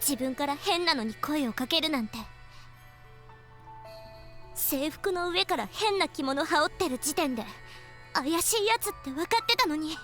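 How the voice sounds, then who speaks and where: A woman playing a haughty, irritated, sharp-tongued teenage girl in a Japanese visual novel, curt and scornful. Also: high voice, lively intonation, fast talker, pauses often, faint music behind. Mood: scornful, exasperated.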